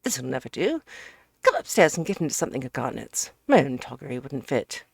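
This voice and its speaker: A woman reading aloud, voicing a hearty, bossy, bustling middle-aged Englishman who talks loud and brisk. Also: extremely low pitch, very animated, creaky, breathy edge, no echo, clean studio sound, exaggerated voice.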